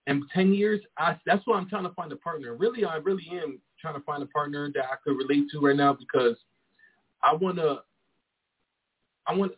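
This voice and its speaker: Young man, casual rambling talk, husky, tinny phone sound. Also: a little high. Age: 20s. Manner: rambling, frequent "you feel me". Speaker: guest. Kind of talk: casual chat.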